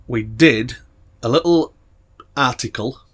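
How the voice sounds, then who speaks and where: A middle-aged man, co-hosting a casual podcast and telling crude stories that get loud and laughing as he warms up. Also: medium pitch, takes it slowly, breathy edge. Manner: crude, laughing storyteller, gets loud when worked up. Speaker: podcast co-host. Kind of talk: casual banter.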